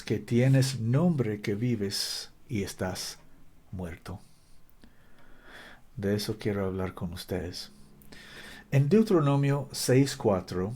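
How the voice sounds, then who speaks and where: A man preaching a Bible-teaching sermon in Spanish, which he says is not his native language, speaking deliberately and stopping often to quote scripture. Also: medium pitch, warm tone. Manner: deliberate, stops to quote scripture, some restarts in careful non-native Spanish.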